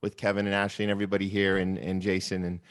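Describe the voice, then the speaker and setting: Low-voiced man with hesitant phrasing. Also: completely flat delivery, very fast, clean tone. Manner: hesitant, stop-start phrasing. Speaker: actor and filmmaker. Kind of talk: casual self-introduction. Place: video call.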